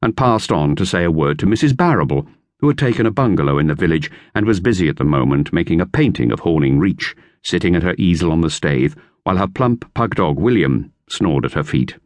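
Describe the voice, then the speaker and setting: A man narrating a children's classic in a lively storytelling manner. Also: low-pitched, brisk, dry recording. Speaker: audiobook narrator. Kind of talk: scripted narration. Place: recording studio.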